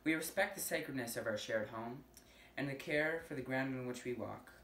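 A speaker with a mid-pitched voice reads a formal acknowledgement in measured phrases. The voice is distant and echoey, with faint background noise. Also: a bit bright. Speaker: liturgy reader. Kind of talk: land acknowledgement reading.

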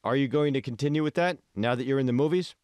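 A man's voice: medium pitch, fast, darkish tone, no room sound, clean studio sound, pitched above the performer's own voice, lightly acted. Man playing an eager, showy tech billionaire. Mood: inviting. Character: eager, self-satisfied male tech billionaire.

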